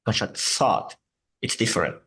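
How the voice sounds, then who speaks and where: A man whose first language is Portuguese, teaching English and slowly repeating words to model their sounds. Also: bright, forceful tone. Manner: slow, patient, repeats words to model pronunciation. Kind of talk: online English lesson.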